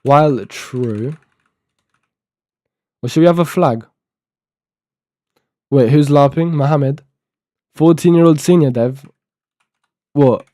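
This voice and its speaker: A man's voice: fairly high pitch, slow, long pauses, dark tone, no room sound, clean studio sound. Eighteen-year-old man mumbling through code. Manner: mumbling, thinking aloud, reading docs half to himself. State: sore throat. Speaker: live-coding streamer. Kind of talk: casual live-stream talk while coding.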